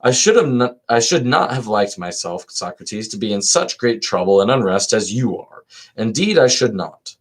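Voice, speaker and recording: A man's voice: fairly low pitch, sing-song, normal speed, voice pushed up. A man reading the part of an anxious, devoted old Athenian friend in a philosophical dialogue, earnest and formal.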